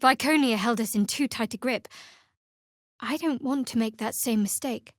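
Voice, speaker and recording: Actress doing a guarded young shadow cleric woman, wry and measured, game dialogue, bit high, close dry sound. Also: no room sound, lightly acted. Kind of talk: video game voice acting.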